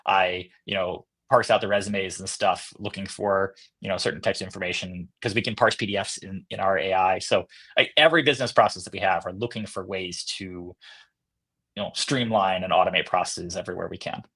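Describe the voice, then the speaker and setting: A man's voice: low voice, steady tone, fairly quick, sharp tone, husky. A man speaking casually as a company executive guest in an interview, feeling his way through his answers as he talks.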